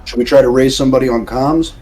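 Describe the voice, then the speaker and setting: A man's voice: medium pitch, fairly even intonation, quick pace, a little mellow. A man talking casually as a player in a tabletop role-playing game. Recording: faint background noise.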